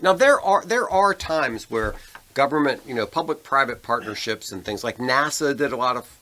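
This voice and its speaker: A man's voice: medium pitch, animated, moderate pace, pushed and bright. An American man co-hosting a podcast, talking casually about politics in an opinionated, conversational way. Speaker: podcast co-host.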